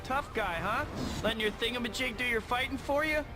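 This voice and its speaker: An actor playing a young, earnest and cocky superhero in a video game, quippy and often talking to himself. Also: male voice, extremely high pitch, a little fast. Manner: quippy, boyish, self-talking. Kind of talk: video game hero acting.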